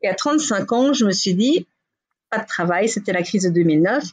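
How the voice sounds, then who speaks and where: Woman, 40s, from Belgium, interview guest; husky, frank, chatty, filler-heavy. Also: mid-range voice, animated, brisk, darkish tone. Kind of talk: casual interview talk.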